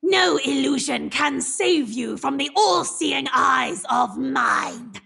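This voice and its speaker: A menacing video game heroine with a heavily gravelled, piercing voice. She is fierce and theatrical, drawing out her words slowly in defiance. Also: high-pitched, animated, bright, forceful tone, raised voice, exaggerated voice. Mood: fierce, defiant. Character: fierce all-seeing female game hero shouting defiance. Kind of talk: video game hero voice line.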